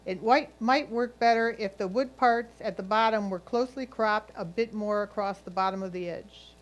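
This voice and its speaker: A woman's voice: mid-range voice, moderate pace, rough recording. A woman reading a judge's written critique aloud. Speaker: reader of judges' comments.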